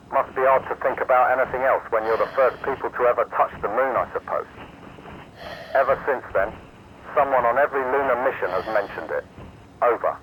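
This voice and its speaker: A man with a British accent voicing a calm, formal mission controller giving procedural radio instructions in a game. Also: medium pitch, noisy background. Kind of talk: game voice acting.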